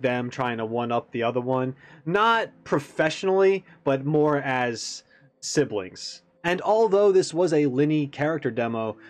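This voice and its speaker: A man hosting a reaction video, giving casual, off-the-cuff commentary. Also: medium pitch. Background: faint music.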